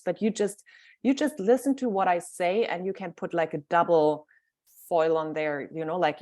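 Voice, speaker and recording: German-accented woman coach, lowish voice. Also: darkish tone. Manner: informal, thinks aloud, many fillers. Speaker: mindset coach. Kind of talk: guest talk on a livestream.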